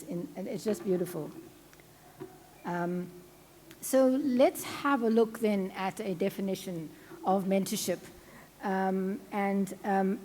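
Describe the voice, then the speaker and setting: A woman with a South African accent gives a conference presentation into a podium microphone. Her voice is mellow and relaxed, and she talks in a chatty way, full of ums and restarts. A faint hiss of background noise sits under the recording. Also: medium pitch. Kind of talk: academic conference talk. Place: lecture hall at a conference.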